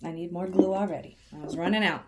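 A woman with a froggy, hoarse voice chats through a craft tutorial while working at a coffee table in her living room. She is self-deprecating and laughs at her own mistakes. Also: lowish voice, fast talker, heavy background noise. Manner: chatty, self-deprecating, giggly. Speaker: craft vlogger. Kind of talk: casual DIY tutorial narration.

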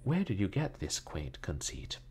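Storybook young woman read by a male narrator: worldly-wise, wistful, drily teasing, lilting pitch. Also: wildly swooping pitch, measured pace, a little mellow, a little hiss or hum, heavily acted. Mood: teasing, sarcastic. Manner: languid, teasing.

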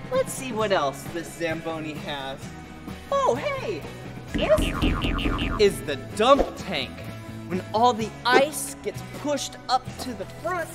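Young male kids' host, bouncy lively pitch, slow deliberate delivery, music under. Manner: exaggerated exclamations and giggles. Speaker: children's show host. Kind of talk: animated educational narration for toddlers. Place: indoor ice rink.

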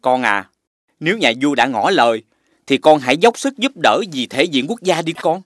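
A man voicing a humble, devoted father in an animated fairy tale, gentle and pleading. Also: a little high, normal speed, no room sound, studio quality. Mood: concerned. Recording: music behind the voice.

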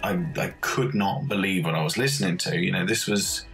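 Low-voiced man reminiscing casually over music. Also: a little fast, vocal fry. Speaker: music composer interviewed. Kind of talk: casual behind-the-scenes reminiscence.

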